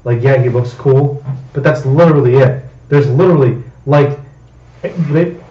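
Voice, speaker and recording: A young man hosting a casual podcast chat in an informal, halting manner. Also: medium pitch, dark tone. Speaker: podcast host. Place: echoey room. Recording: roomy, slightly dull sound.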